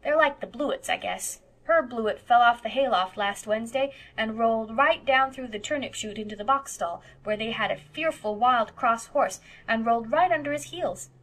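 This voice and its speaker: A woman's voice: fairly high pitch, normal speed, few pauses, fairly bright tone. Woman voicing a defiant, insistent little farm boy. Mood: defiant, matter-of-fact. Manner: childish folksy grammar, blunt and insistent. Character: defiant, plain-spoken eight-year-old farm boy. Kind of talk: audiobook character voice.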